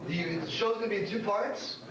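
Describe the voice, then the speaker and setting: Recorded from far off in a very echoey club with a crowd, a middle-aged man hosts from the stage. He rambles and jokes informally in a fairly high voice. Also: brisk. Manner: rambling, joking. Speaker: show host, comedian. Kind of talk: informal stage introduction to a live audience. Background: audience responses.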